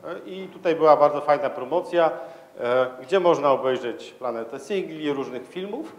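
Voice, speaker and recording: A man's voice: a little high, animated, normal speed. A man gives an informal, explanatory conference talk in a conversational, somewhat hesitant way, speaking into a handheld microphone in a large lecture hall. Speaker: conference speaker, security manager.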